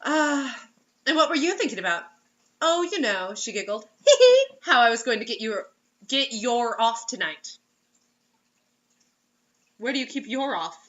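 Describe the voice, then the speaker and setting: A woman's voice: on the high side, expressive, normal speed, long pauses, fairly bright tone, highs cut off. A woman reading a story aloud as host, in a mocking dramatic way, breaking off for sarcastic casual asides.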